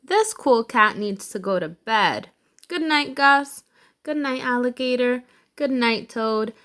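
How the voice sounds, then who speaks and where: An easygoing, cool storybook tomcat voiced by a woman reading aloud, with a high and very bright voice. He speaks in short, emphatic phrases with a groovy attitude. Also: lively intonation, slow pace. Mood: sleepy, insistent. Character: cool, easygoing male cartoon cat who wants sleep.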